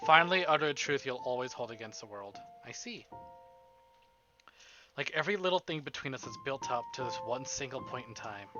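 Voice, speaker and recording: A man reading as the earnest, tender first-person narrator of a romantic visual novel, soft and measured. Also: medium pitch, lightly acted. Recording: dull lo-fi stream audio under music.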